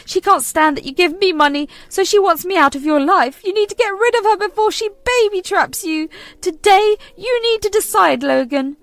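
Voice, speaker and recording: A woman voicing a manipulative, whining older mother-in-law who accuses and pleads in a shrill, melodramatic way. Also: expressive, moderate pace, no room sound. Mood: shrill pleading.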